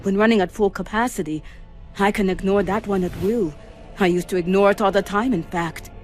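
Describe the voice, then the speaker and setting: Over prominent music, an actress plays a female starship AI. She is coolly precise and dryly witty, delivering technical detail in a measured, clinical manner at a middling pitch, in a sci-fi drama style. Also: fairly even intonation, darkish tone, lightly acted. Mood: dry, matter-of-fact. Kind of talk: scripted sci-fi audio drama acting. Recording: music under the voice. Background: background music.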